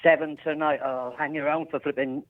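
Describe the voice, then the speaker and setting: A man's voice: on the high side, flat delivery. Welsh male caller on a tinny phone line. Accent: Welsh with a northern English twang. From Wales. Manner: halting, full of fillers, exasperated. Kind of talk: phone-in chat.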